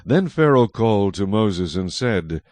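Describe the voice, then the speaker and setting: Lowish, expressive male scripture narrator. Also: dry recording. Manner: measured, solemn storytelling. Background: music.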